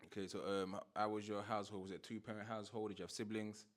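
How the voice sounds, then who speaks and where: Young man as interviewer, quick, low, flat delivery. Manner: relaxed questioning with London slang and stutters. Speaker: interviewer and host. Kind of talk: casual podcast interview.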